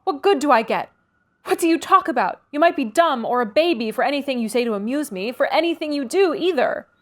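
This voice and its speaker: A woman's voice: expressive, brisk, a bit bright. Woman doing a peevish, imperious young lady. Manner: shrill, imperious outbursts.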